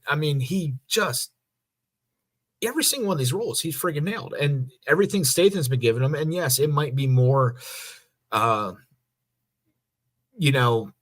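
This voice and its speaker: A man co-hosting a podcast, chatting casually in a conversational, halting but emphatic way. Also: mid-range voice, a bit bright. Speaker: podcast co-host and amateur voice actor.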